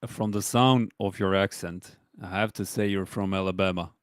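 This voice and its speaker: A man's voice: low-pitched, steady tone, normal speed, clear, resonant tone, dry recording. A man from Europe ribbing the others with dry teasing in a casual live chat. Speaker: chat participant.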